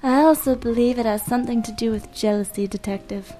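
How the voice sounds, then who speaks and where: A woman's voice: a little high, a little fast, warm tone, soft background music, close to an everyday voice. A woman playing a melodramatic female client in an improvised noir radio drama, theatrical and hesitant. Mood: conspiratorial.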